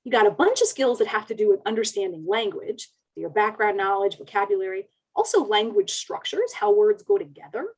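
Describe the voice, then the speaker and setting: A woman's voice: medium pitch, expressive, a little fast, hardly any pauses, rough recording. A woman presenting research in an explanatory webinar lecture, carefully laying out ideas in plain terms.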